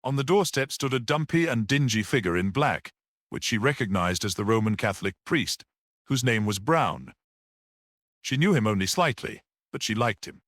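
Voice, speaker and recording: A man's voice: mid-range voice, expressive, normal speed, fairly bright tone, dry recording, clean studio sound. A man reading a literary story aloud as a scripted audiobook narration.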